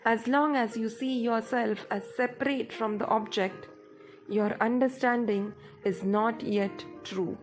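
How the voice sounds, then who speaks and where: A woman guides a meditation in a fairly high voice. She speaks very slowly and in a measured, instructive way. Music plays softly behind her words. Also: fairly even intonation.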